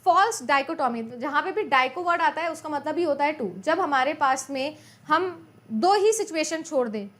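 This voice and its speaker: A woman's voice: high-pitched, lively intonation, moderate pace, fairly bright tone. A woman teaching an exam-prep lecture in a chatty way, often repeating her points and asking her students questions as she goes. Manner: mixes Hindi and English terms.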